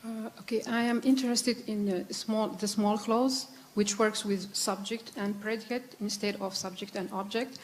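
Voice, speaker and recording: A woman's voice: medium pitch, steady tone, moderate pace, hardly any pauses, slight background noise. Woman asking a question from the floor. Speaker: audience member asking a question.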